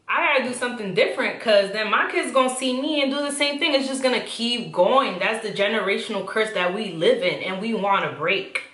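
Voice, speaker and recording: Bright-voiced young New woman. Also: medium pitch. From the Bronx, New York. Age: 20s. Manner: chatty, candid and animated. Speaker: channel host. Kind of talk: casual conversational vlog. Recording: roomy echo.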